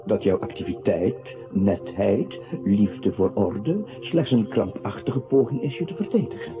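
A man's voice: lowish voice, lively intonation, a little slow. A man acting a mocking, accusing inner voice in a literary radio play, with a sardonic, theatrical manner. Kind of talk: literary radio play narration, addressing the protagonist. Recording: tinny band-limited radio drama with music.

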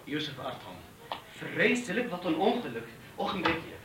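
A man's voice: fairly high pitch, sing-song, normal speed, noisy background. A man playing a polite, guarded young student from Turkey in a radio drama, speaking carefully and hesitantly with formal courtesy. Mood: shaken, polite. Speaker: actor in a radio play. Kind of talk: naturalistic radio drama acting.

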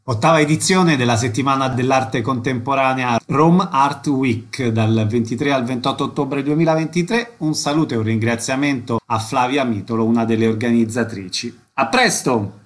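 Male radio host with a mid-pitched voice. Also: moderate pace, hardly any pauses. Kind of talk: radio interview, presenting.

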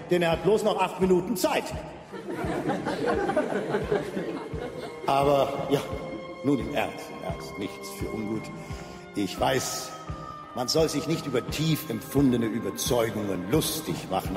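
An actor playing a grandiose, oily cabaret showman who effusively hosts the end of the universe in a radio play. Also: medium pitch. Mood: mock apologetic. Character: gushing, theatrical showman compering the end of the universe. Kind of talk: bombastic cabaret master-of-ceremonies patter. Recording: echoey hall reverb, music and audience behind.

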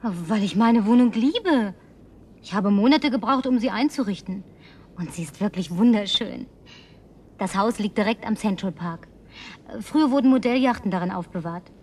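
A woman's voice: medium pitch, lively intonation, moderate pace, a little mellow, breathy edge. An actress playing a lonely, house-proud young woman in a German radio drama, coaxing and effusive with sighs. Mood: eager.

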